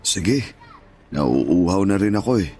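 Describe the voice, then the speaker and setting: Low-voiced, humble weary peddler. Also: male voice, sing-song, slow, a little mellow, a little hiss or hum, exaggerated voice. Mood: weary, grateful. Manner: plain-spoken, modest, grateful. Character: humble, weary older male street peddler. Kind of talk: Tagalog radio drama acting.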